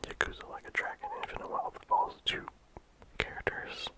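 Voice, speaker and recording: Soft casual ASMR whisperer. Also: fairly quick. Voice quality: whispered throughout. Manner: casual rambling with many "like" fillers. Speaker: game reviewer. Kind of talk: whispered ASMR game review.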